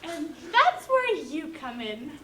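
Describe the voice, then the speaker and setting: Woman as a very high-voiced, terse prosecutor. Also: sing-song, slow, fairly bright tone, heavily acted. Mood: probing. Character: brisk female assistant prosecutor questioning witnesses. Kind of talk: comic stage-play acting. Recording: echoey, noisy, distant microphone.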